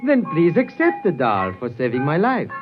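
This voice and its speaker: Casting: sly, courtly villain doll-maker, wildly melodic delivery, smooth formality, dull vintage radio sound with music. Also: male voice, a little high, huge pitch swings, normal speed. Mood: sly, ingratiating. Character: suave, sinister male doll-maker posing as innocent shopkeeper. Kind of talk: 1950s radio drama acting. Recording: dull old radio recording.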